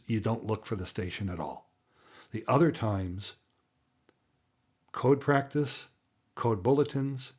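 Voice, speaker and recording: A man's voice: fairly low pitch, darkish tone, dull audio. A man giving a plain-spoken, emphatic tutorial as an instructor, explaining things step by step. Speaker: amateur radio instructor and presenter.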